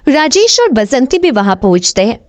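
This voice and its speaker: High, animated female storyteller. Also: normal speed, no echo. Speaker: narrator. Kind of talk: scripted storybook narration for a horror cartoon.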